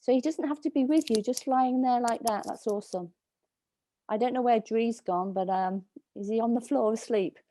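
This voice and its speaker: A woman dog trainer giving slow, soothing instructions over a video call, with a calming voice. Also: fairly high pitch, no echo. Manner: soft, slow, soothing coaching. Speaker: dog trainer leading a workshop.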